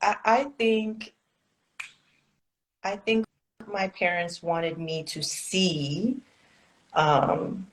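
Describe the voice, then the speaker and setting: Slow, low-voiced woman co-host. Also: rough recording. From South Florida. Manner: thoughtful, laughs easily. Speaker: podcast co-host. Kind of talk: casual conversational podcast.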